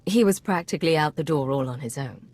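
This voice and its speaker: An actress playing a determined, businesslike secret agent heroine in a video game, direct and terse. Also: female voice, deep voice, expressive, brisk, a little mellow, slightly rough, no echo. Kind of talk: video game dialogue acting.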